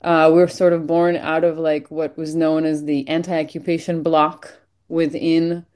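A woman's voice: low voice, little pitch movement, measured pace, a little mellow. A woman born and raised in Israel, speaking earnestly as an event organizer in an interview, with frequent fillers. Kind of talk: casual interview answers.

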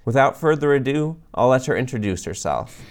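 A man hosting a podcast, reading scripted narration in an even, measured way. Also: mid-range voice. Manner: measured, even read-aloud delivery. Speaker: podcast host, librarian.

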